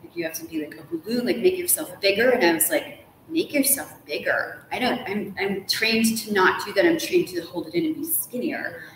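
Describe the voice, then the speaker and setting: A woman's voice: mid-range voice, lively intonation, fairly quick. A middle-aged woman chatting casually as an interview guest, gushing and talkative, often saying "like". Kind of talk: animated casual conversation. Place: large hotel room, indoors. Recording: roomy echo with background noise.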